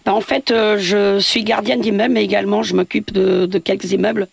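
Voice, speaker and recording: A woman's voice: mid-range voice, fairly even intonation, brisk, vocal fry, husky, no room sound. A woman from Portugal, a building caretaker speaking as a radio interview guest, hesitant with many fillers and restarts.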